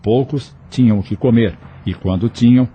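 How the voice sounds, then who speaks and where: A man's voice: mid-range voice, normal speed, husky, muffled recording. A man reading scripted storytelling narration for an audio novel. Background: music.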